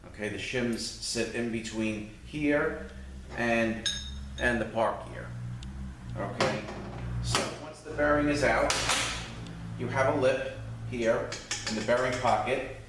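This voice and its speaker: A man's voice: mid-range voice, slow pace, pauses often. A man giving an informal hands-on walkthrough, explaining step by step like a mechanic teaching a repair. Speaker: transmission mechanic demonstrating repair. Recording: roomy echoing space, distant mic, faint noise.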